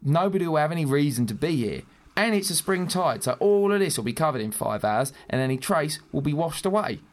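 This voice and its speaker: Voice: medium pitch, very animated, a little fast, close to an everyday voice. An actor playing a naive, nervous smuggler, earnest and rambling, in an audio drama. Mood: businesslike. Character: nerdy, nervous, naive smuggler, keen on birds and shells.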